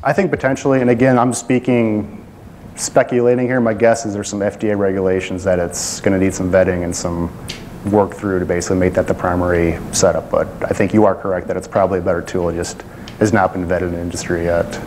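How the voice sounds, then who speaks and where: A man with a fairly low voice gives a loose, self-deprecating technical presentation in a conference room. He restarts often and hedges, with a faint hum of room noise behind him. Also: level tone, quick pace, a little mellow. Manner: casual, self-deprecating, many fillers and restarts.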